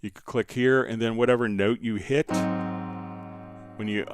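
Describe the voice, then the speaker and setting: A man hosting a live stream, casually explaining software step by step while he demonstrates it. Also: mid-range voice, fairly even intonation, moderate pace, clear, resonant tone, dry recording, studio quality, faint music behind.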